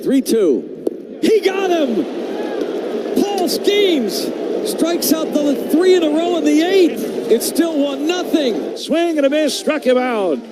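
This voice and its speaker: A man making excited baseball play-by-play calls. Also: extremely high pitch, slow. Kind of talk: excited highlight call. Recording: very noisy stadium broadcast clip. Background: loud stadium ambience.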